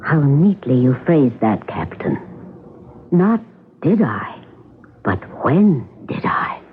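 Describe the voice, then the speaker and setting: A woman's voice: rumbling low, very animated, takes it slowly, exaggerated voice. Through a narrow, faintly noisy old radio recording comes an aging stage actress in a mystery play. She is poised and sardonic, choosing her words with theatrical, ladylike care. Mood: coolly sardonic. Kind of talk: radio drama acting.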